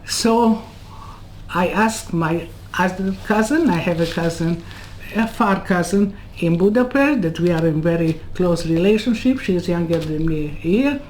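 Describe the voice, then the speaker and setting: A woman in her eighties from Serbia, telling her life story in an interview, in halting non-native English full of asides. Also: on the low side, darkish tone, a little hiss or hum, rough recording. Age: 80s. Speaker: Holocaust survivor interviewee. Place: home room lined with bookcases. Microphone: webcam on a video call.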